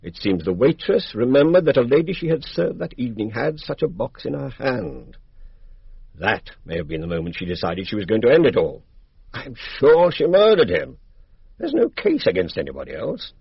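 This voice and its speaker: An actor playing a blunt, confident English police inspector, chatty and matter-of-fact, in a radio drama. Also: male voice, medium pitch, wildly swooping pitch, normal speed, gravelly, breathy edge, no echo, exaggerated voice. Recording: dry, dull lo-fi radio recording.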